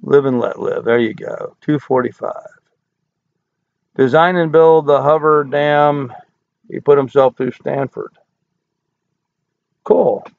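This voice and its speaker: An older man hosting a casual live stream, hoarse from hours of talk, folksy and rambling as he answers chat comments. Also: fairly high pitch, fairly even intonation, slow, long pauses, no echo. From United States. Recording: dry, tinny phone-like audio.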